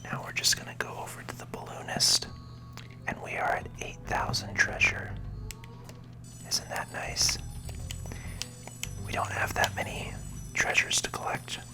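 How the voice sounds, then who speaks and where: An ASMR gaming host whispering soothing, encouraging commentary to viewers in a breathy voice, close to the microphone. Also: measured pace, long pauses, music in the background.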